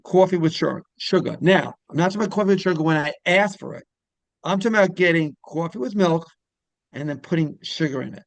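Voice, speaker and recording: Male interview host, casual and rambling, with lots of fry and a very dry, close sound. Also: a little high, expressive, quick pace, dry recording. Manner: rambling, repeats phrases, griping about pet peeves.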